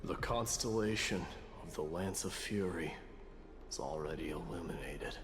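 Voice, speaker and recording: A man's voice: deep voice, normal speed, background noise, lightly acted. A man voicing a stern, proud but courteous warrior lord in a video game, speaking in a grave, formal manner. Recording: reverberant game audio under music.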